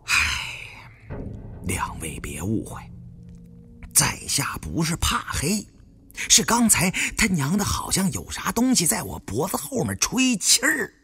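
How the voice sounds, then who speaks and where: A man's voice: on the high side, wildly swooping pitch, slow, bright, forceful tone, faint music behind, pitched above the performer's own voice, exaggerated voice. A man voicing a timid, rattled adviser to tomb raiders in an audiobook, pleading and cursing colloquially. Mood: frightened, pleading.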